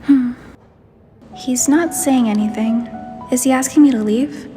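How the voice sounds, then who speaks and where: A woman's voice: sits high, measured pace, dark tone, faint music behind. A woman playing a shy, curious young heroine in a game story, speaking her dialogue and inner thoughts. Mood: shy, musing. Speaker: voice of the player heroine, fan narration. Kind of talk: acted visual novel dialogue and inner monologue.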